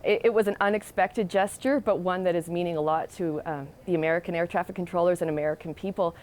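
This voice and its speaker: A woman's voice: fairly low pitch, quick pace, a little mellow. A woman giving a conversational live news report as a reporter.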